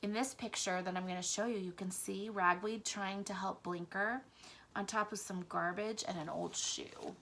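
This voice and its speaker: A woman with a bright, forward voice that often creaks at the edges. She reads a children's story aloud as a teacher, at a middle pitch. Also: fairly even intonation, normal speed, slight background noise. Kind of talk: children's book read-aloud.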